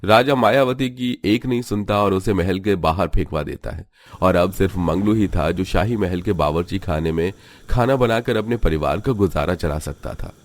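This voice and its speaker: Man, deep voice, scripted story narration, cartoon storyteller, dry studio-like sound. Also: normal speed, no room sound.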